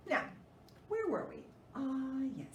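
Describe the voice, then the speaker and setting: Animated high-voiced woman reading to children. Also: slow pace. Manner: animated, expressive, with theatrical gasps. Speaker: children's librarian and storytime host. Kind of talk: read-aloud storytime for young children. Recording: roomy, distant mic, faint noise.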